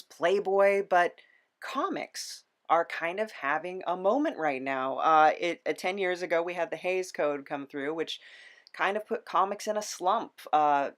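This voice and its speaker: A podcast host in casual conversation, explaining things in a halting, thoughtful way. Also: medium pitch, fairly bright tone.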